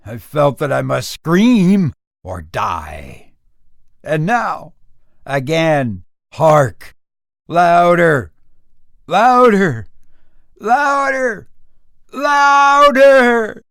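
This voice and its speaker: A man voicing a guilt-haunted murderer breaking down into a confession, in a melodramatic, shrieking, halting gothic horror reading. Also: high voice, sing-song, vocal fry, a bit bright, no echo, clean studio sound, heavily acted. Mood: frantic, tormented.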